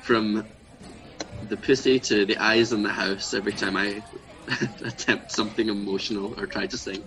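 A man's voice: on the low side, fairly even intonation, normal speed, over music, poor audio. A man in his twenties from Scotland, a drag performer chatting in a playful, self-mocking way and laughing often. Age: 20s. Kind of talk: casual comedic chat.

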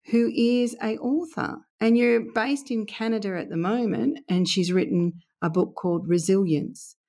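A woman hosting a podcast interview, asking gentle questions and adding short acknowledgements. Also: lowish voice. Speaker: podcast host.

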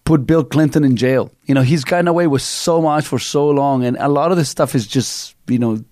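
A middle-aged man from Chile with a Chilean Spanish accent, hosting a talk radio show in an animated, rambling storytelling way. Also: medium pitch, fairly quick, no room sound, studio quality. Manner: animated storyteller, many fillers and restarts. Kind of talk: casual opinionated talk radio chat.

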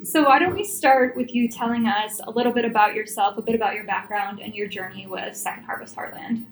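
A woman's voice: on the high side, a little fast, rough recording. A woman hosting an interview podcast, friendly and affirming with her guest. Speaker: podcast host.

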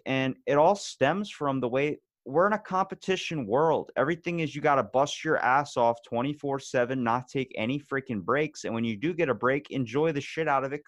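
A man talks as a podcast guest, blunt and fired-up, swearing freely in casual conversation. His voice sits at an ordinary middle pitch. The sound is close and dry, with no room echo.

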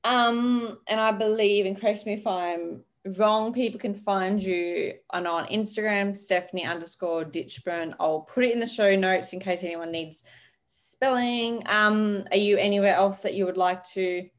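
A woman hosting a podcast interview, affirming her guest and guiding the conversation with gentle prompts. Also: medium pitch, unhurried. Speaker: podcast host.

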